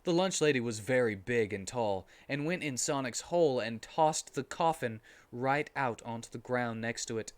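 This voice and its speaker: A man streaming a comic dramatic reading with casual commentary, sounding amused and animated. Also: medium pitch, normal speed, few pauses.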